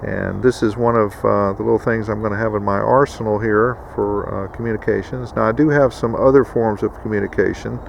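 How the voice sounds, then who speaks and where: A man hosting a casual instructional vlog with a gravelly voice, addressing viewers in a folksy way. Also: fairly low pitch, fairly even intonation, fairly quick, few pauses, slight background noise. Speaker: video host.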